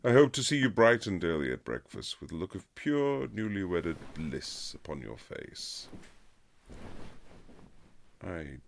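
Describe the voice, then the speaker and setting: Casting: male actor for haughty period-romance husband, bottomless bass with expressive swings, dry teasing formality. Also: gravelly, slight background noise, full character voice. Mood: dry, sardonic. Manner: formal, dry, teasing, measured aristocratic phrasing. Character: reluctant aristocratic young husband, haughty, sardonic gentleman. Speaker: audio roleplay performer. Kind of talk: romantic period-drama ASMR roleplay, scripted.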